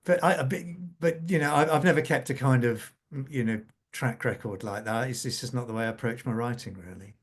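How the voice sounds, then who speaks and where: Scriptwriter guy on a panel, thinks out loud, stops and starts, repeats himself. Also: medium pitch, breathy edge. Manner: halting, thinking aloud, repeating phrases. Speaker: scriptwriter for a radio drama serial. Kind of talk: panel conversation, reflective.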